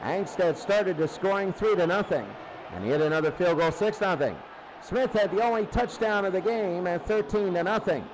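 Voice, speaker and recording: High-pitched man calling football, noisy recording. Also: moderate pace. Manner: short clipped phrases. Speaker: football play-by-play announcer. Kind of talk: live sports commentary.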